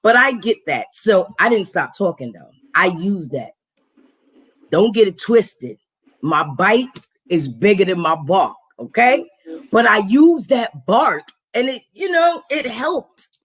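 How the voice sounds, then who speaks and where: A woman with a raspy, deep voice hosting a casual live stream, animated and slangy, acting out quotes. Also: slow pace. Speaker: host.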